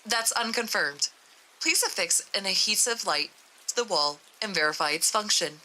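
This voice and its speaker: A woman voicing a calm, insistent research doctor in a horror story read-aloud, formal and detached. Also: medium pitch, normal speed, poor audio.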